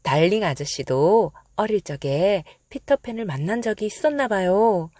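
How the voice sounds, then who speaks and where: Casting: female children's storyteller, expressive and animated, with a dry recording. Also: mid-range voice, normal speed, dry recording.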